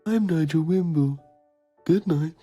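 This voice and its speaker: A man playing a self-important TV journalist, narrating a mock documentary in a solemn, pompous deadpan. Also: a little high, a little slow, soft background music.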